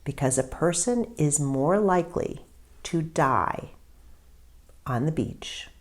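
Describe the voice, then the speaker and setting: A woman with a fairly low voice reads aloud as an author sharing a story. Her narration is expressive and dramatic. She phrases things deliberately and leans on key words with emphasis. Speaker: author reading own book aloud.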